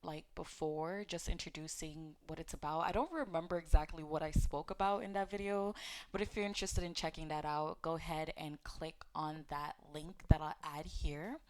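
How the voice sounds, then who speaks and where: A woman with a slightly low voice gives an informal faith lesson straight to her viewers. She talks casually and encouragingly, pausing on fillers and correcting herself as she goes. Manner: conversational, with fillers and self-corrections; gently encouraging, speaks directly to viewers. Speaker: host of an Islamic teaching channel. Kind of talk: casual religious teaching talk.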